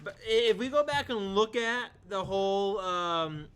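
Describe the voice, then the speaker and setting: A man with a bright, fairly high and tense-edged voice, joking with his co-hosts on a live show. He talks loosely and hesitantly, stopping to restart his thoughts. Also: lively intonation, measured pace, clean tone. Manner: chatty, hesitant, laughing asides. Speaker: show co-host. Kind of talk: casual banter among co-hosts.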